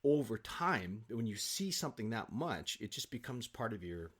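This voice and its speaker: Guy chatting as an interview guest, nerdy and excited, mid-range voice. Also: medium pitch, moderate pace, slightly rough. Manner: enthusiastic, self-confessed film nerd. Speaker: guest, stage actor. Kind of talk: animated anecdote telling.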